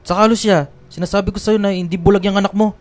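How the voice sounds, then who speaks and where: A man's voice: sits high, fairly even intonation, fast, lightly acted. A man playing a bitter, impatient father who grumbles about family duties in a Filipino radio drama. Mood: bitter, dismissive.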